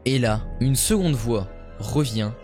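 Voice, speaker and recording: A man narrating paranormal stories in a steady, measured storytelling voice. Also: mid-range voice, faint music behind. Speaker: YouTuber.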